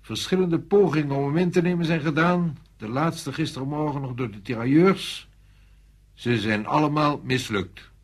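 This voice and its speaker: An actor playing an authoritative French general in a Dutch radio play, speaking in a measured, deliberate, commanding way. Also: male voice, fairly high pitch, breathy edge. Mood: grave, explaining. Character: authoritative male French general briefing a subordinate.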